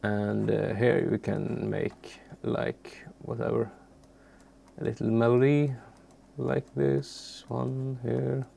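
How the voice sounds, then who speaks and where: Man, native Swedish speaker, gravelly fry, unhurried halting delivery, informal tutorial, faint noise with keyboard clicks. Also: mid-range voice, flat delivery, dark tone. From Sweden. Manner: halting, searching for words, unassuming. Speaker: music software tutorial presenter. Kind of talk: informal screen tutorial, unscripted.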